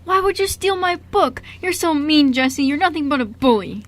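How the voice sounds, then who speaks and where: A woman's voice: sits high, animated, normal speed. A woman playing a bookish, shy six-year-old boy who stammers a little in an amateur radio drama. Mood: indignant. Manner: hesitant, stammering, boyish. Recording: noisy amateur radio recording.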